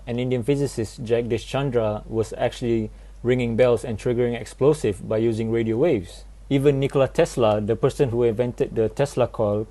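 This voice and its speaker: Young man presenting, mellow voice. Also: mid-range voice. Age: 20s. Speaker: student presenter. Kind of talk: prepared presentation, explanatory.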